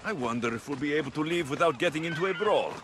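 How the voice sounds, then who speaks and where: A man's voice: on the high side, expressive, quick pace, noisy background, close to an everyday voice. A man playing a wry, blunt young Greek mercenary in a video game cutscene, with a dry, sardonic manner. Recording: loud background music.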